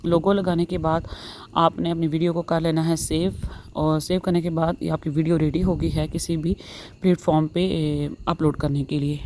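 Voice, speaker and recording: Low, even-voiced woman teaching. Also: normal speed. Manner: instructional, repetitive explaining. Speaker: tutorial host. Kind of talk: step-by-step screen tutorial narration. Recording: faint background noise.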